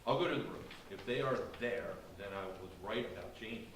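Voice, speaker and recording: A man's voice: mid-range voice, animated, a little fast, fairly bright tone, a little hiss or hum, close to an everyday voice. A man playing a stern, worried father in a staged play reading. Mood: stern, suspicious. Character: stern worried father checking on his children. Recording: very echoey, distant stage recording.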